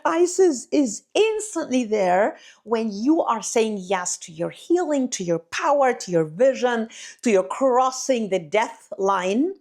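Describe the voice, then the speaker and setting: A woman's voice: fairly high pitch, animated, slow pace, darkish tone. Effusive woman's spiritual monologue. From Egypt. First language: Arabic. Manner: effusive and incantatory, addresses listeners as beloved, many "you know, like" fillers. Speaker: spiritual teacher and channel host.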